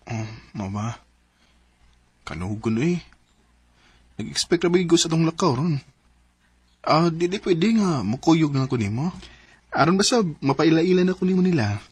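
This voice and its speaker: Husky, lovestruck young man, Cebuano accent. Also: on the high side, lively intonation, unhurried, pauses often, no room sound. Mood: disappointed, insistent. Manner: pleading, emotive. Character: earnest, lovestruck young man devoted to his girlfriend. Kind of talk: sentimental Philippine radio drama acting.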